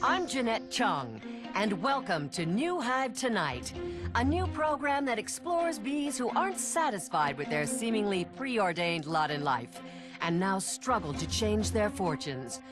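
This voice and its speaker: A woman's voice: medium pitch, very animated, unhurried, music in the background. A woman voicing a chipper female bee hosting a TV talk show in a polished broadcast manner. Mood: showy, dramatic.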